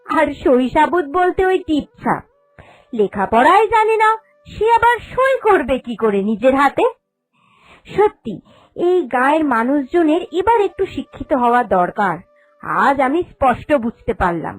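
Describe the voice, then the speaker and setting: A woman's voice: high-pitched, sing-song, measured pace, rough recording. A woman voicing a humble, honest young village woman in a cartoon, speaking earnestly and politely. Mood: proud, sincere. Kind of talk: Bengali cartoon fairy-tale voice acting. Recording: music under the voice.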